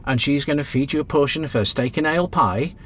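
An actor playing a kindly, encouraging middle-aged British taxi driver in a radio play, reassuring and plain-spoken. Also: male voice, on the high side, fast, fairly bright tone, a little hiss or hum, close to an everyday voice. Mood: kindly, insistent.

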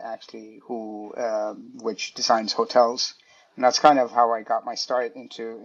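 A man's voice: mid-range voice, highs cut off. A man answering interview questions about his career, hesitant, with many fillers and restarts. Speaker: guest, design director.

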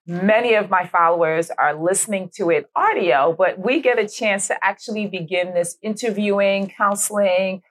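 A woman's voice: medium pitch, normal speed, few pauses, a bit bright, poor audio. A woman hosting a podcast, effusive and affirming, often repeating her own phrases as she asks questions. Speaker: podcast host. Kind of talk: warm-up intro and interview questions.

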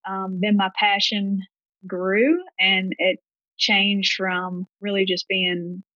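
A woman with a Deep South Mississippi accent chats as a podcast guest, stringing her story together in easy, run-on phrases. Her voice sits at an ordinary middle pitch. The recording is dry and somewhat dull, a touch lo-fi. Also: level tone, slow pace, pushed and bright, dry recording. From Gulfport, Mississippi, US. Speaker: podcast guest, gym owner. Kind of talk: casual interview answers.

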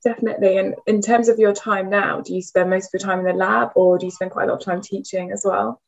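Woman interviewer, quick and encouraging, dull lo-fi recording. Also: mid-range voice, fairly even intonation, vocal fry. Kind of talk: conversational interview. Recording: dull lo-fi sound.

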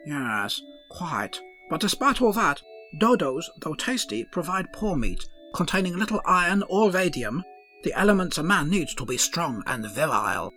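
A man's voice: fairly high pitch, very animated, normal speed, pushed and bright, faint music behind, exaggerated voice. A man playing an eccentric, pompous starship doctor with an odd made-up blended accent, long-winded and florid. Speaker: ship's doctor.

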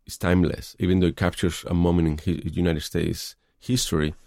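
A middle-aged man with a Spanish accent speaks in a deep, gravelly, mellow voice. He answers interview questions thoughtfully and often pauses on fillers. The sound is close and dry. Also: flat delivery, dry recording. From Spain. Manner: thoughtful, hesitant, many fillers. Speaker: film director.

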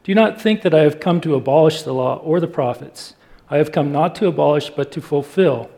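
A man reading scripture aloud in a steady, measured way. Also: on the high side, creaky. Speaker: volunteer scripture reader.

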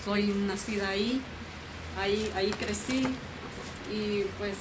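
A woman from El Salvador answering as an interview guest, soft and hesitant. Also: medium pitch, steady tone, slow pace. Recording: noisy background ambience.

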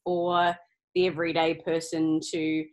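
Low-voiced New Zealand woman scientist. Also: completely flat delivery, a little slow. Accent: New Zealand, slightly Australian-tinged. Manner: thoughtful, with frequent ums and restarts. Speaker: research scientist guest. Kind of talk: casual podcast interview.

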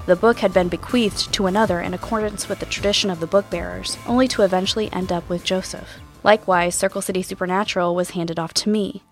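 An actress playing a thoughtful, inquisitive podcast host, narrating a scripted mystery in a measured, wry, reflective way. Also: female voice, mid-range voice, steady tone, soft background music, lightly acted. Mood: pondering.

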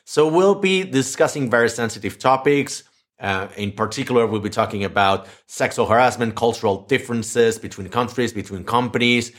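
A man's voice: medium pitch, fairly bright tone. A man hosting a tech podcast, interviewing a guest in a chatty way with many restarts and fillers. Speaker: podcast host. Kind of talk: casual interview. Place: Madrid, Spain.

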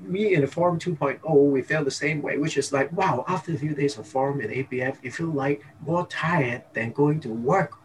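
A man's voice: fairly high pitch, a little fast, hardly any pauses, rough recording. A man from Hong Kong, a dentist, talking casually as an interview guest and tagging his points with "okay?" and "you know". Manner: chatty, peppers speech with "okay?" and "you know".

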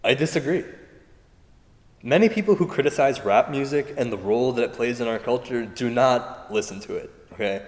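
An opinionated male panelist played by an actor argues in a conversational way in a staged debate. The mid-pitched voice echoes through a large hall with long reverb. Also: normal speed, darkish tone, close to an everyday voice. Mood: contrary. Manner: conversational, argumentative.

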